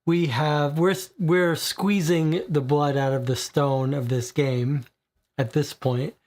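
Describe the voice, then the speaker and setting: Slightly hoarse man hosting a livestream. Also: fairly high pitch, fairly even intonation, slow, a little mellow. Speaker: board game show host. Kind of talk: livestream talk. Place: home room with full bookshelves.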